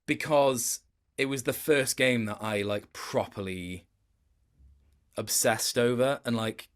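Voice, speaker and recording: A man in his late twenties speaks as a guest in a relaxed interview. His delivery is conversational and rambling. He often doubles back to rephrase as he tells a story. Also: medium pitch, slow pace. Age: late 20s. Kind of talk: casual gaming interview chat.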